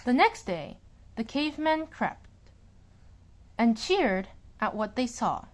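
A woman reads a picture book aloud in a fairly high voice. Her delivery is slow and deliberate, with big swings in pitch. She stresses words expressively, as a storyteller does for young children. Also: very animated.

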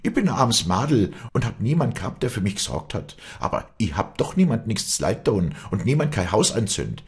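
A man's voice: on the low side, big pitch swings, moderate pace, darkish tone, husky, voice pushed up, full character voice. A man voicing a poor, honest young maidservant, agitated and indignant, pleading in Alpine Bavarian dialect in a storybook reading.

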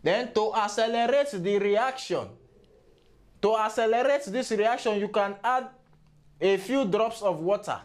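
A man's voice: high voice, unhurried. A Nigerian-accented man playing a mock chemistry professor who lectures formally and scorns gullible fools.

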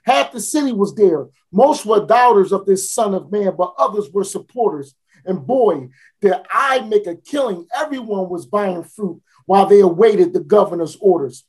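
A boastful, mocking street fruit seller tells the tale in plain folksy speech, played by a woman. The delivery is theatrical: lines pile up in repetition and then break out into sudden shouts. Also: mid-range voice, animated, moderate pace, slightly rough, poor audio. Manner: folksy, dramatic, with repeated builds and sudden shouts. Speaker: dramatic monologue performer. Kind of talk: theatrical spoken-word monologue.

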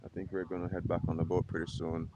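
A man's voice: sits low, fast, highs cut off, background noise. A young Jamaican man with a Jamaican accent, chatting casually to a handheld camera outdoors by a lake. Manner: relaxed, chatty, thinking aloud with fillers. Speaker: travel vlogger. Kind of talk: casual travel vlog to camera. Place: outdoors on a lake island and boat. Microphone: action camera at arm's length.

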